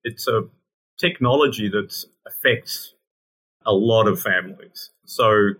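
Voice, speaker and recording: A middle-aged Australian man with a bright, forceful tone, sitting somewhat low in pitch. He talks casually and haltingly, restarting phrases as he works out his answers. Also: breathy edge. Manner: hesitant, repeats words while thinking. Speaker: guest scientist.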